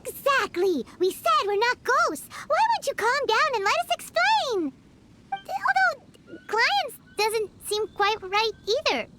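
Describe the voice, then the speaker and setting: A woman voicing a tiny childlike fairy companion in a video game, excitable, bossy, chattery and easily scared. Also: very high-pitched, slow, very noisy, exaggerated voice. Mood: indignant. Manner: refers to herself in third person, chattery. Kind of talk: animated video game voice acting.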